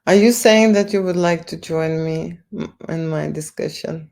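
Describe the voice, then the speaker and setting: Slow, gravelly Lithuanian-accented woman. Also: low-pitched, a little mellow. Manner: halting, repeats words, tag questions like okay and right. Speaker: photo studio owner livestreaming. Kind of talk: casual livestream talk to viewers.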